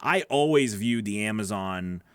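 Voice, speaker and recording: A man's voice: fairly low pitch, lively intonation, a little slow, a bit bright, smooth, dry recording. Nasal-voiced man on a dry studio mic. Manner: chatty, repeats words, reads viewer questions aloud. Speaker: voice actor hosting a live Q&A. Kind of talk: casual livestream Q&A. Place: small home studio, indoors. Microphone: large studio microphone on boom arm.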